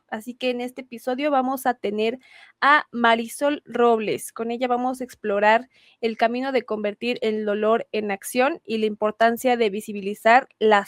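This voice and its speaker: A woman with a Mexican accent co-hosting a podcast, asking long, measured questions in a friendly way. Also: a little high, no room sound. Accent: Mexican Spanish. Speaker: podcast co-host. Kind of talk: podcast interviewing.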